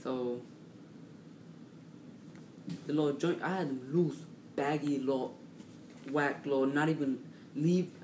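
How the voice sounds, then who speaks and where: A man telling a casual, animated story in slang, repeating himself and acting out what people said. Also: a little high, takes it slowly, pauses often. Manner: slangy, repetitive, acts out quotes. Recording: roomy, distant mic, clearly noisy.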